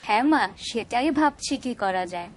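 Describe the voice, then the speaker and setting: A woman's voice: fairly high pitch, lively intonation, moderate pace, natural voice. A woman voicing a caring, earnest young village woman in a Bengali cartoon.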